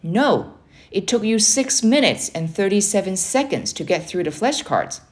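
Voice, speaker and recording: A woman's voice: medium pitch, big pitch swings, normal speed, voice pushed up, natural voice. A stern, challenging uncle from a children's storybook, read by a woman. He is curt and commanding, a tough military man who demands full effort. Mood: firm, demanding. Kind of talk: children's storybook character reading.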